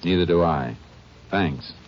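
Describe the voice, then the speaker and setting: An actor playing a determined Texas Ranger investigator in a radio drama, speaking and narrating in a clipped, matter-of-fact way. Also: male voice, sits low, measured pace, loud music behind the voice. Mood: businesslike. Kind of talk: 1950s radio drama acting with first-person narration. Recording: noisy, muffled 1950s radio recording.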